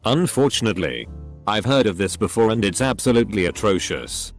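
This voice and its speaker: A man with an English accent narrates a string of online posts in a measured, even-paced read. His voice sits on the low side but moves a lot in pitch from phrase to phrase. Soft background music runs faintly beneath him. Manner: reads one post after another in a steady, measured delivery. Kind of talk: scripted narration.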